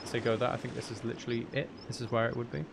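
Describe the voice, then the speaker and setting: A man's voice: medium pitch, little pitch movement, rapid-fire, creaky, noisy background. A man chatting casually on a live gaming stream, rambling and half talking to himself as he plays. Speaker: game streamer.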